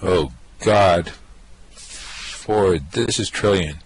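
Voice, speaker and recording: Radio comedy role: cool, evasive alien guy, male actor, deep and husky, casual slow drawl. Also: heavily acted, low-quality recording. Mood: flustered, offhand. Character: breezy, evasive alien man with a cool swagger. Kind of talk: amateur radio play acting.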